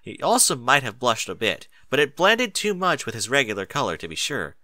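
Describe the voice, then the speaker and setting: A man's voice: medium pitch, big pitch swings, a little fast, sharp tone, dry recording. A man reading scripted fiction aloud as an audiobook narrator.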